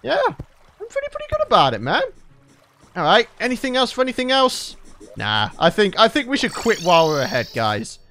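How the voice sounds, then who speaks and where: A man's voice: high voice, wildly swooping pitch, brisk, pushed and bright, faint music behind. A young man, a regular gaming channel host, chatting cheerfully through a let's play and often laughing, his voice tired from recording late at night. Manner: chatty, upbeat, laughs often, talks to viewers.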